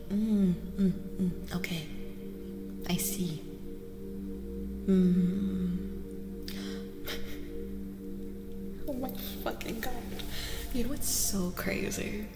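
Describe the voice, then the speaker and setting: Hushed, slow-spoken woman drifting into whispers. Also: mid-range voice, gravelly. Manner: hushed, often slipping into whispers. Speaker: streamer giving tarot readings. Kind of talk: soft-spoken ASMR tarot reading, casual chat. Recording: roomy reverberant sound. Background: soft music.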